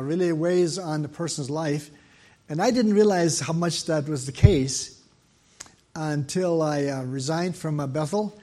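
An older man with a soft, slightly high voice preaches a sermon into a church microphone. He talks quickly, often stopping for fillers and restarting his sentences. His tone stays gentle and personal. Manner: speaks fast, with frequent fillers and restarts. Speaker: guest preacher. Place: church sanctuary.

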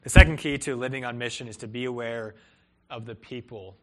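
A man's voice: mid-range voice, a little fast. A young man preaching a sermon, earnest and rising and falling for emphasis, who grew up in Peru and Cleveland. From Peru and Cleveland, Ohio. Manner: earnest, rises and falls for emphasis. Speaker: pastor.

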